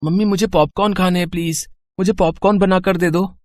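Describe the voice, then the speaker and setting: A woman voicing a young schoolchild in a cartoon story, eager and pleading, with an excitable manner. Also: on the low side, brisk, natural voice. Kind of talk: Hindi cartoon moral story acting.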